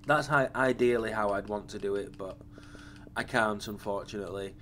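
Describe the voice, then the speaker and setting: Slightly raspy man walking through a game build. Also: fairly low pitch, moderate pace. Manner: relaxed and rambling, with frequent ums and self-corrections. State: slightly raspy from a lingering cough. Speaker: gaming channel host. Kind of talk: casual game build walkthrough.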